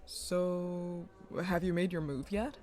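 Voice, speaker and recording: A man's voice: high-pitched, steady tone, slow pace, darkish tone, soft background music. A man playing a loyal, teasing young nobleman in an audio drama, eager and playful in manner. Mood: teasing, curious.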